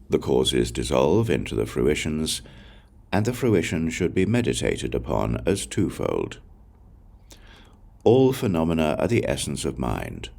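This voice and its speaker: An extremely low-voiced man narrates a sacred text with a creaky, gravelly grain. His delivery is measured and solemn, set out with deliberate pauses, while his intonation moves widely up and down like a formal recitation. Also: darkish tone. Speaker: audiobook reader. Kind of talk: scripted narration of a Buddhist scripture.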